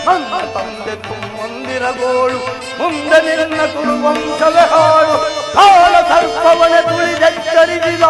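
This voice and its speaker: Over prominent music in an echoey space, a vengeful, scheming male villain of mythological stage drama. The voice is extremely high and declaims theatrically, repeating phrases and sliding toward sung verse. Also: fairly even intonation, takes it slowly. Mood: vengeful taunting.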